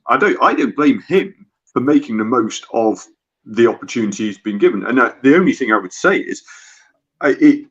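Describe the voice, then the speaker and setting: A man on a sports panel making a measured, reasoned argument in conversational speech. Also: mid-range voice, slightly rough. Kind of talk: sports discussion. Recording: dull, lo-fi connection.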